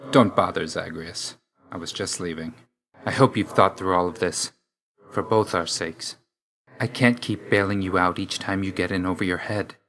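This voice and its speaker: An actor playing a brooding young god of death in a video game, curt and dour, with clipped, stern, weary delivery. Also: male voice, low-pitched, a bit bright. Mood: cold, dismissive. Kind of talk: video game character voice lines.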